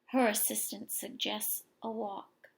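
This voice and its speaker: A woman teacher reading a picture book aloud expressively to young children, pausing for explanations and questions. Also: mid-range voice, flat delivery, vocal fry. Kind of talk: expressive children's story read-aloud with asides to listeners.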